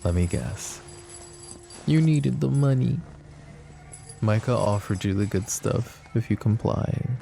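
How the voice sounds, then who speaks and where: A man voicing a possessive, menacing mafia boss in a roleplay audio drama, talking slow and taunting, with sweet pet names. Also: fairly low pitch, animated, faint music behind, heavily acted. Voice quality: soft, smooth and taunting. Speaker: voice actor.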